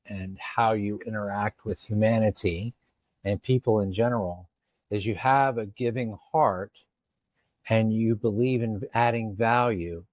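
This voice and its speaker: Low-voiced host, dry close sound. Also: male voice, dry recording. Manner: warm, admiring, repeats words when starting. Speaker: podcast host. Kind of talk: casual interview chat.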